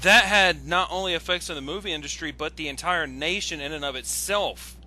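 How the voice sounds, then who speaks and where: A man ranting casually on an online voice call, exasperated and excitable, stammering and swearing when he gets worked up. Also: a little high, a little fast. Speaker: guest commentator on an online video.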